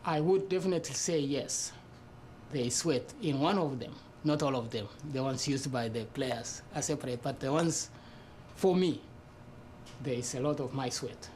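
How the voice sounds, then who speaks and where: A man's voice: mid-range voice, moderate pace, a little mellow, a little hiss or hum, natural voice. A man playing a devoted, literal-minded sidekick, speaking earnestly in a mock documentary interview. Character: devoted, earnest male sidekick who sews wristbands. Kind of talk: mockumentary interview.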